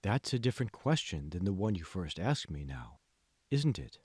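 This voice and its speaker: Man voicing a slow, hushed, manipulative mentor. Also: sits low, sing-song, husky, no echo, studio quality, voice pushed down, full character voice. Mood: smooth, probing. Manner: slow, deliberate, probing questions, hushed. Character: calm, manipulative male mentor, slyly seductive and condescending. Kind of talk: dramatic audiobook dialogue.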